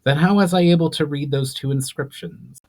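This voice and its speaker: A man's voice: on the high side, fairly quick, voice pushed up. A man playing a curious, forthright male elf hero in a dramatic fantasy audiobook, speaking with emphatic, questioning delivery. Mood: curious, insistent. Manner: emphatic, inquisitive.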